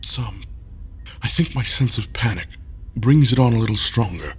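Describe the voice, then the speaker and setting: A low, husky young man gives short and guarded answers. He sounds worn down and anxious, and he is too proud to accept help. The voice comes from an audio drama. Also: animated, normal speed, heavily acted. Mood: weary. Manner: weary, guarded and terse. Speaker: troubled leader.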